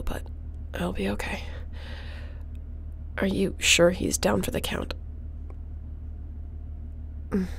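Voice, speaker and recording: Woman playing a low, creaky-voiced space captain. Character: tough, loyal female space captain, defiant and tender. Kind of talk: sci-fi audio roleplay acting.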